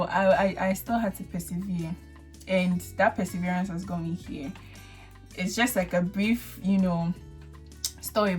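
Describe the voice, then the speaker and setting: A young woman with a Ghanaian accent, not a native English speaker, hosting a casual chat and telling her story with lively acted-out thoughts. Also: on the low side. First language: a Ghanaian language. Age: young adult. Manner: animated storyteller acting out her own thoughts. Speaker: vlogger host.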